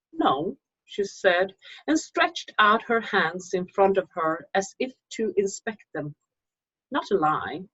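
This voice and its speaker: Female author-reader, Swedish accent. Husky voice, measured slow delivery, slightly dull lo-fi recording. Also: sits low. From Sweden. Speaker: magazine co-publisher and author reading her own story. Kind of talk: informal introduction, then slow literary story reading. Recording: dull lo-fi microphone sound.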